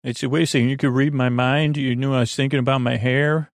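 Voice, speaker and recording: A man hosting a sleep podcast, rambling in a meandering, halting way, stammering and restarting his sentences, with a creaky voice. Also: mid-range voice, flat delivery, no room sound, studio quality. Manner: meandering, halting, stammering with repeated false starts. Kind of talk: rambling bedtime monologue.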